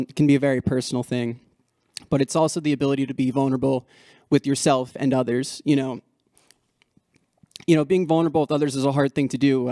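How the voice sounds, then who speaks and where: A man's voice: medium pitch, mellow, dry recording, clean studio sound. A man speaking as an alumni panelist and musician, reflective and encouraging. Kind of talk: panel discussion remarks.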